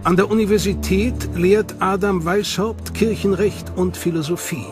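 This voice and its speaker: A man giving measured, scripted narration for a history documentary. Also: high-pitched, fairly even intonation. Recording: music bed under voice.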